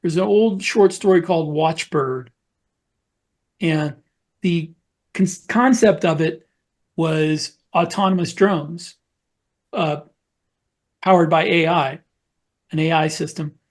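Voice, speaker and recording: A man's voice: fairly high pitch, takes it slowly, long pauses. A man co-hosting a podcast, explaining things in a casual, storytelling way as part of a panel discussion. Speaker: podcast co-host.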